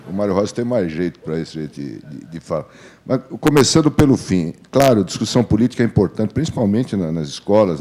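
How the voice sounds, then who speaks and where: Low, very mellow older Brazilian man. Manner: blunt, colloquial, emphatic repetition. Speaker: veteran journalist panelist. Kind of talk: informal panel talk.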